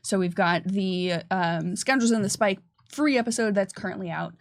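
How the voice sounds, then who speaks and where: A woman with a mid-range voice and plenty of vocal fry chats casually as she presents a livestream. She is upbeat and talkative, fielding questions like a game developer. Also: medium pitch, normal speed.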